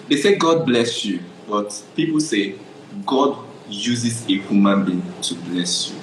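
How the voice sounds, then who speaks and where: A man's voice: lowish voice, echoey room, background noise. A young adult Nigerian man, a photographer guest, telling his story in a casual interview, often checking "do you understand?".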